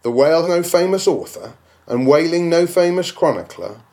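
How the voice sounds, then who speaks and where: Man acting out a scornful male debater, raised pitch, dismissive, objecting, storybook narration style. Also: expressive, normal speed, dark tone, natural voice. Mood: incredulous scorn.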